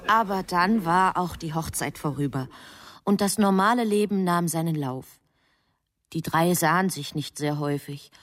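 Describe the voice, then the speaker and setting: A woman playing a heavenly messenger who narrates human affairs in a radio drama, in a measured, literary manner. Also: lowish voice, close to an everyday voice. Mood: measured, reflective. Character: female heavenly messenger calmly recounting human love affairs.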